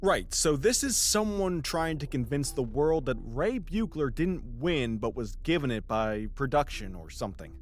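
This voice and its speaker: An actor playing a skeptical, teasing podcast host who is sarcastic and probing, in a natural chatty audio drama style. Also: male voice, fairly high pitch, very animated, moderate pace, hardly any pauses, slight background noise. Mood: speculative.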